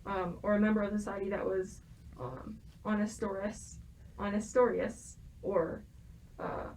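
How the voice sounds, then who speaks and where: A woman's voice: medium pitch, fairly even intonation, a little slow, a little mellow, slight background noise, low-quality recording. A young woman, a student, explaining a topic from prepared notes, with frequent hesitations and restarts. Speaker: student guest expert.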